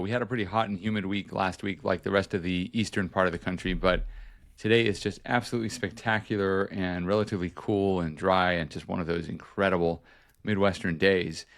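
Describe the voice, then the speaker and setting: A man with a fairly low voice hosts a podcast, running through news updates in a relaxed, conversational way. He corrects himself as he goes and keeps the talk informal. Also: clear, resonant tone. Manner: conversational, self-correcting, chatty. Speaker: podcast host and journalist. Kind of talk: casual news chat.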